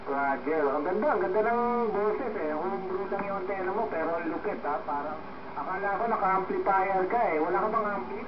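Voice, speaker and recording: A man's voice: high voice, brisk. Chatty man over a static-filled radio. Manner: chatty, with many fillers and radio sign-offs. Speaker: amateur radio operator. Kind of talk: casual ham radio contact. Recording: lo-fi echoey radio audio with loud static.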